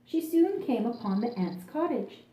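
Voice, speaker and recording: Expressive, mellow woman storyteller, echoey room. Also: on the high side, a little slow. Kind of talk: scripted children's story narration.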